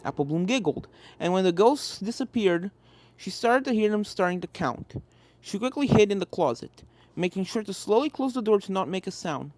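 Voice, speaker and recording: A woman's voice: medium pitch, animated, normal speed, darkish tone. A woman narrating a scripted story expressively. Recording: music behind the voice.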